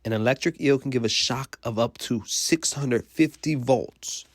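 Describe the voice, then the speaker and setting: A man's voice: medium pitch, measured pace, no room sound. A man reading a children's picture book aloud like a teacher, explaining simply and putting questions to young listeners.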